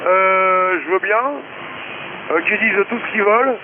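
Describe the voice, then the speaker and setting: A man's voice: sits high, fairly even intonation, unhurried. A man chatting casually as an amateur radio operator, crude and slangy, over a shortwave transmission. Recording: hissy narrowband shortwave radio with static.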